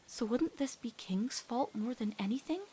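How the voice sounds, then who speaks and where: A woman voicing a gentle, steadfast teenage witch girl, speaking in a measured, reassuring way in a dramatic comic dub. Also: fairly high pitch, lively intonation, slightly rough. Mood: firm, consoling.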